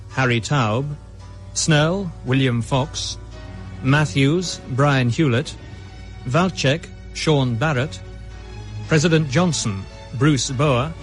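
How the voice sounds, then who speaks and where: A man with a British accent reading scripted radio drama credits as an announcer, formal and measured. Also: medium pitch. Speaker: continuity announcer.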